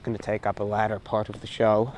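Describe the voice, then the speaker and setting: A man's voice: on the low side, completely flat delivery, brisk, darkish tone. A man hosting his own show, talking in a casual, reflective, rambling monologue of short phrases, recorded outdoors on a walk. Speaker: host of a radio and online show. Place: outdoors, recorded while walking. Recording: clear ambient noise behind the voice.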